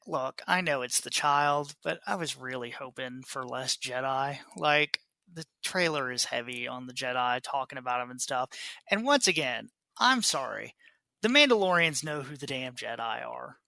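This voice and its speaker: Bright-voiced man in casual podcast chat. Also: medium pitch, animated, normal speed, creaky, dry recording. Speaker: podcast host. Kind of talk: casual panel chat about a TV trailer.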